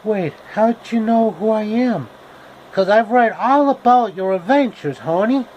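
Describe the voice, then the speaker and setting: A sweet, knowing storybook heroine, acted out by a man speaks in a raised pitch. The delivery is familiar and conversational. There is clear noise in the background. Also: expressive, measured pace, darkish tone, dull audio. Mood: friendly, curious.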